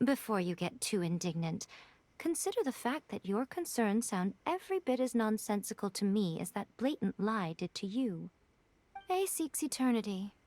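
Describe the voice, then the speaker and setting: A knowing, teasing priestess, voiced by a woman, amused at everyone's expense. She speaks in a languid, mocking way at a middle pitch. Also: darkish tone, no room sound, close to an everyday voice. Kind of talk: game cutscene voice acting.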